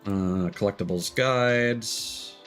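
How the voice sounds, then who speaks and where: A man's voice: lowish voice, slow, a bit bright, soft background music. A man giving casual livestream commentary on a video game, rambling and thinking aloud.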